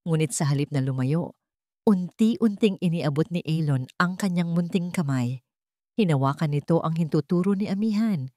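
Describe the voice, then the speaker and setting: A woman's voice: low-pitched, expressive, moderate pace, warm tone, no room sound, studio quality. A woman narrating a scripted dramatic story as its storyteller.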